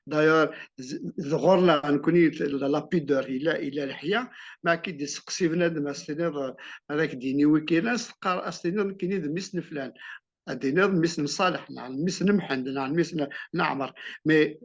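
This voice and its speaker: A man's voice: fairly high pitch, rapid-fire. A man from Algeria, an author answering interview questions in a reflective way, often restarting and repeating words. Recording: muffled lo-fi sound.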